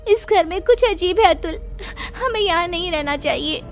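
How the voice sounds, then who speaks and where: A woman's voice: very high voice, expressive, normal speed, soft background music. A woman voicing an anxious, pleading young newlywed wife in a horror cartoon. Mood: frightened, pleading. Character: frightened young newlywed wife, sensing something eerie. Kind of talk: Hindi horror cartoon dialogue.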